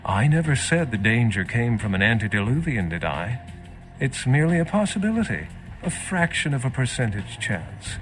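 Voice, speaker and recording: A man voices a wary vampire scholar in a video game. He is grave and erudite, with a medium-pitched voice and measured, earnest phrasing. Music plays clearly behind him. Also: sing-song, lightly acted, poor audio. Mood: uneasy, earnest.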